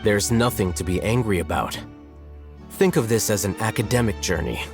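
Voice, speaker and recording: A man voicing a calm, dry-witted scholar who teases his companions in a game cutscene. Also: fairly low pitch, moderate pace, music in the background, natural voice. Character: calm, wry male scholar.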